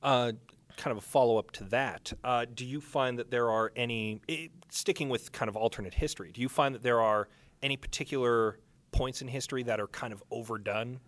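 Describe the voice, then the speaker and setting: A man's voice: medium pitch, fairly quick, a bit bright, no room sound. A man hosting a podcast, conducting a casual live interview in an informal, joking way.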